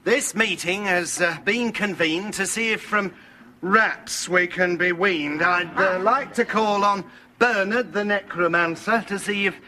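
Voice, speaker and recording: A man's voice: high voice, unhurried, pushed and bright, slight background noise. A man playing a bumbling, officious medieval town official, hesitant and formal in comic rhyme. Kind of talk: comic rhyming stage dialogue.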